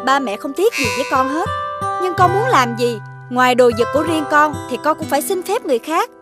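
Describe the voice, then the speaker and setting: A caring mother dog in a children's cartoon, gently firm and instructive. Her high voice swings up and down with a Southern Vietnamese accent. Music runs underneath. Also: animated, brisk. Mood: gently scolding.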